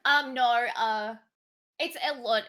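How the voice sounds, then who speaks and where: Bright-voiced Australian woman, casual. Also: on the high side, takes it slowly. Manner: soft-spoken, many ums, easy laughs. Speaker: guest streamer. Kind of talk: casual interview chat.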